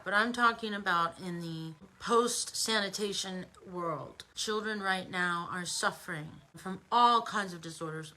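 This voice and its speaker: A woman's voice: lowish voice, bright, forceful tone, low-quality recording. An American woman giving an informal opinion monologue as an online commentator, emphatic and conversational, with rhetorical asides.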